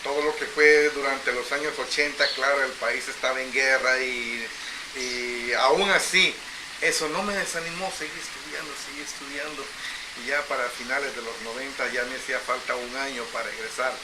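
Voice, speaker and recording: A man's voice: medium pitch, fairly even intonation, noisy background. Reflective man telling his story. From El Salvador. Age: middle-aged. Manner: reflective, unhurried, repeats phrases. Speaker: interview guest, agricultural engineer. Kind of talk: personal storytelling in an interview.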